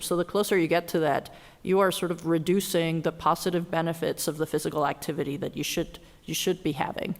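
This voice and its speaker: Flat-voiced woman explaining research in a hall. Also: sits low. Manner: explanatory, careful, thinks aloud. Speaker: public health researcher on a panel. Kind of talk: panel discussion. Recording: long reverb of a hall.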